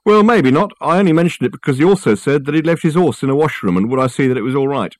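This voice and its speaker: Casting: wiry, talkative porter, done by a male reader, boastful, raised pitch, storybook comedy. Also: expressive, fast talker, a little mellow, dry recording, studio quality, natural voice. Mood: defensive. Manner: chatty, pleased with his own impressions. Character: wiry chatty college porter, man, boastful amateur impressionist.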